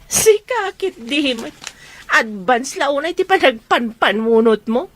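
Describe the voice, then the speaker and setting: A teasing young Filipino woman voiced by an actress, the best friend in a radio drama. Her voice sits very high. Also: animated, slow pace, heavily acted.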